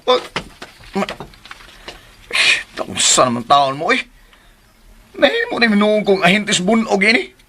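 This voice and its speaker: An actor playing a caddish, evasive, self-pitying older brother in a radio melodrama, cajoling, with a Cebuano accent. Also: male voice, high-pitched, wildly swooping pitch, unhurried, long pauses, pushed and bright, full character voice. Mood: whining, evasive. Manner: cajoling, tag words such as "ha". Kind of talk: melodramatic Filipino radio drama acting.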